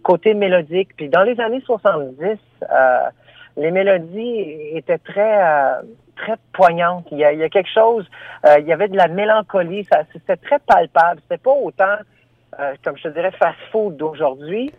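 A man's voice: sits high, expressive, moderate pace, no echo. Franco-Ontarian man on a tinny phone line. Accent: Franco-Ontarian French. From Ottawa, Ontario. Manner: hesitant, many fillers and restarts. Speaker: singer guest. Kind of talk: phone interview. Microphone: telephone.

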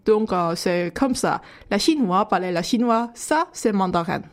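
A woman's voice: medium pitch, animated, a little slow. A woman whose first language is Cantonese, speaking French with a Cantonese accent as a radio columnist, explaining carefully with many hesitations. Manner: hesitant, frequent "euh" fillers, careful second-language French. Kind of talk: radio segment chat.

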